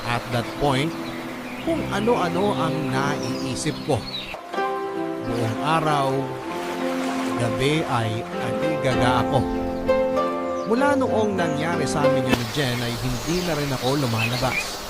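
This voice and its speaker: A man's voice: medium pitch, animated, slow pace, pauses often. An actor playing a remorseful young man, narrating his obsession in a Filipino radio drama. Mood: brooding. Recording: loud music under the voice.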